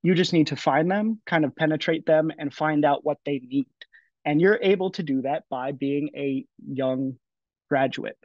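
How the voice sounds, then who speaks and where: A man's voice: on the high side, normal speed, dry recording. A man in his twenties speaking casually on a panel over a video call, with frequent fillers and restarts. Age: 20s. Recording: dry, dull lo-fi audio.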